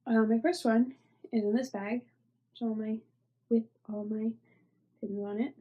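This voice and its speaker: A woman's voice: fairly high pitch, fairly even intonation, unhurried, lo-fi sound. A young woman hosting a knitting podcast, stuffy from a cold, rambling casually with many fillers and often trailing off into near-whispers. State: stuffy, congested. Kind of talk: casual show-and-tell chat.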